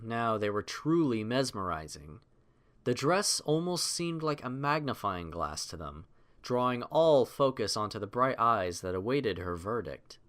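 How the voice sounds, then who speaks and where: A man reading a scripted story as narrator, in an expressive, literary delivery. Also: mid-range voice.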